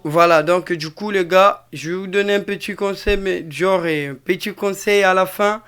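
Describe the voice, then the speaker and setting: A man with a Congolese French accent walks viewers through an informal production tutorial. His voice sits a little high and sounds clean and smooth. He explains in a halting, repetitive way, circling back over each step as he demonstrates it. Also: fairly bright tone, faint music behind. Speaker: music production tutor, mixing engineer.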